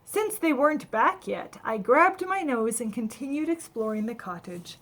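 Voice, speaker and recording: Casting: woman to play a little storybook snowman, cheerful and naive, high voice with a slight rasp, chatty and wide-eyed. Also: lively intonation, moderate pace, fairly bright tone. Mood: delighted, chatty. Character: cheerful, naive, friendly little male snowman telling his adventure. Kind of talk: children's storybook read-aloud.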